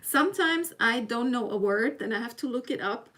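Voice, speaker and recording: A woman's voice: a little high, expressive, normal speed, a bit bright. A woman whose first language is German, speaking English with a German accent, hosting a casual live stream and chatting with her viewers. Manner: chatty, self-laughing, reads chat aloud.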